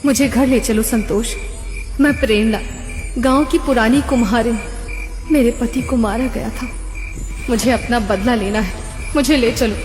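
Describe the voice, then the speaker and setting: A woman's voice: on the high side, measured pace, pauses often, a little mellow, long reverb tail, close to an everyday voice. Woman acting a solemn, vengeful potter-woman spirit. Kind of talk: Hindi cartoon moral-story voice acting. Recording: background music throughout.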